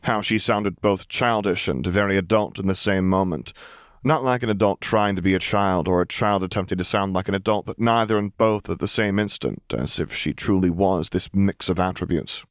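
A man reading scripted fiction as an audiobook narrator, with a measured, literary delivery. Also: sits low, no echo, clean studio sound. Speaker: audiobook reader.

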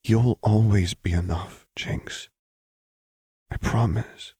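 Audiobook role: earnest young woman in love played by a man, raised pitch, halting delivery, dry recording. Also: fairly even intonation, warm tone, breathy edge, no room sound. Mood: soft, tender. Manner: halting, pleading. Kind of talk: audiobook character voice.

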